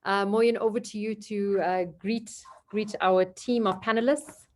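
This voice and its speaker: A woman's voice: medium pitch, fairly even intonation, a little slow, a little mellow. A woman moderating an online business panel, talking loosely and hunting for words as she introduces speakers. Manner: loose and conversational, hunts for words.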